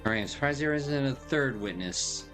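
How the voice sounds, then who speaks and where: A man's voice: mid-range voice, animated, normal speed, a bit bright, faint music behind. A man giving casual gameplay commentary and reading dialogue aloud as he streams. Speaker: streamer playing a game.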